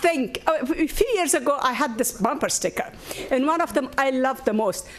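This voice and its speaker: High-voiced woman panelist, hesitant, lots of uhs. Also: animated, normal speed. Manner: hesitant, with fillers and laughs.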